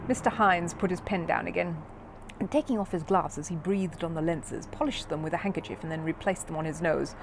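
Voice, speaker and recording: A woman narrating a children's storybook in an expressive reading style. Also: fairly low pitch, fairly quick, creaky, noisy background.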